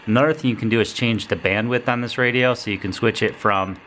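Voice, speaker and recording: A man's voice: mid-range voice, steady tone, fast, a bit bright, a little hiss or hum. A man giving a casual, laid-back product demonstration, explaining features step by step. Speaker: product reviewer, host.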